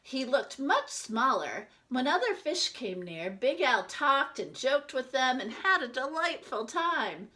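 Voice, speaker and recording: A woman with a high, bright voice reads a children's storybook aloud. She takes her time, leaning on words with deliberate, dramatic emphasis as a teacher does for young listeners. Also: very animated. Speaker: teacher reading a picture book aloud.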